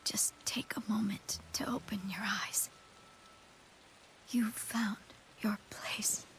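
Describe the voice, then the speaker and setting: An actress playing a tender, fading woman in a video game drama, breathy and whispery. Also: mid-range voice, fairly even intonation, takes it slowly, a bit bright, rough recording. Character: tender, fading woman bidding farewell to her love.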